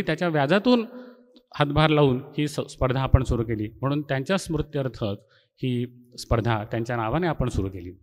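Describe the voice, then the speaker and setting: A middle-aged man delivers an inaugural college speech. His voice sits a bit higher than usual for a man. He explains and reminisces like a lecturer, and his words ring with long hall reverb. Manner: explanatory, poses rhetorical questions and answers them. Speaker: college faculty member giving an inaugural speech.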